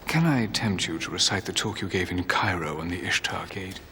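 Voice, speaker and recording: A man's voice: deep voice, animated, fairly quick, bright, forceful tone, a little hiss or hum, exaggerated voice. A man playing a suave, self-promoting lecturer in a screen drama. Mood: smug.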